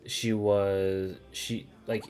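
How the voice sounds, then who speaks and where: Low-voiced man rambling on a game stream. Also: level tone, drawn-out words. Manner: rambling, thinking aloud, casually sweary. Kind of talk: casual livestream chat over gameplay. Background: video game music.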